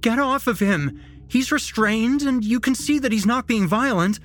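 A man's voice: very high-pitched, lively intonation, moderate pace, a bit bright, faint music behind, pitched above the performer's own voice. A man voicing a protective woman doctor in an audio story, insistent and pleading. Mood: shouting, protective.

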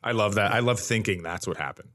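A man with a slightly low voice banters on a casual comedy podcast. He riffs wryly and slips in mock-sincere asides as a co-host. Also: fast, a bit bright.

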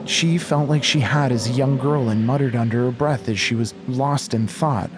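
A man with a medium-pitched voice narrates a horror audio drama. His delivery is measured, literary and intense, over faint background ambience. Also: noisy background. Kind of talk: scripted dramatic horror storytelling.

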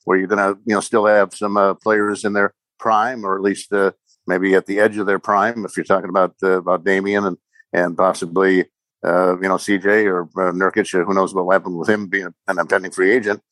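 A man giving casual sports podcast analysis as a guest commentator, halting and hedging with many ums and repeated words. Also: deep voice, flat delivery, a bit bright, no room sound. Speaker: sports commentator guest, former radio play-by-play announcer.

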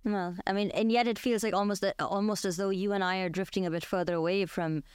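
A woman's voice: mid-range voice, fairly even intonation, quick pace, smooth, dry recording, clean studio sound. A woman hosting a show, talking in a reflective, conversational way with her guest. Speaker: podcast host. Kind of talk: conversational interview discussion.

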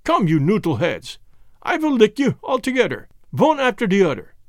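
A man voicing a cunning, excitable condemned man who taunts in broken English with a thick Germanic accent, as in an audiobook story. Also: high-pitched, normal speed, no room sound, clean studio sound, pitched above the performer's own voice. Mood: desperate, furious.

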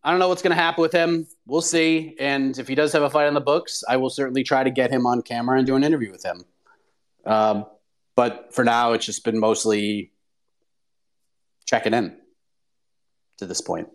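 A man's voice: medium pitch, moderate pace, long pauses. A man hosting a live call-in show, talking casually with lots of fillers. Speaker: host.

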